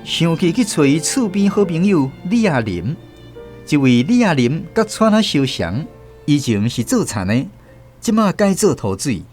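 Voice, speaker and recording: A man's voice: fairly high pitch, normal speed, faint music behind. Man narrating a radio drama. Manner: steady storytelling. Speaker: host and narrator. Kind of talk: scripted narration.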